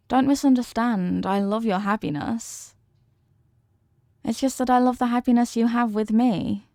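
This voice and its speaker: A woman plays a clingy yandere wife in an ASMR audio drama. She is intense and possessive, coaxing her beloved with melodramatic devotion. Her voice sits at an ordinary female pitch, recorded very dry and intimate. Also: dark tone, dry recording. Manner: coaxing, melodramatic, lingering on words.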